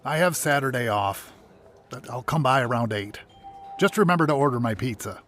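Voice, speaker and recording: Male actor as bitter security guard, mid-pitched, curt, sarcastic, weary, audio drama, clear background noise. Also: lively intonation, normal speed, close to an everyday voice. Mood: resigned. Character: bitter, guarded hospital security contractor, reluctant old friend.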